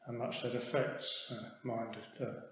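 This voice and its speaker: A man teaching a guided meditation in a calm, measured manner with long pauses. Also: mid-range voice. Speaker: Buddhist monk meditation teacher. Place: meditation hall. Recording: very echoey hall, faint background noise.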